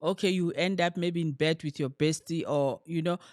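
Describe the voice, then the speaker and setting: An earnest woman co-hosts a relationship podcast, talking her points through in casual chat and pausing to search for words. The sound is dry and close, with no room echo. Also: low voice, a little mellow. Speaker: podcast co-host.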